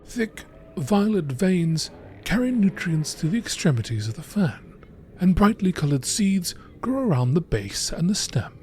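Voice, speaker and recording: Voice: mid-range voice, sing-song, measured pace, darkish tone, a little hiss or hum. A narrator reading scripted descriptions in the style of a nature documentary. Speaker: narrator reading game lore texts.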